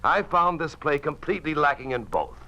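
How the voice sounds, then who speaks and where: An actor playing a principled, sardonic drama critic in a 1950s radio play, with a dry, pointed, cynical delivery. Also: male voice, fairly high pitch, expressive, brisk, sharp tone, low-quality recording. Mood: firm, sardonic.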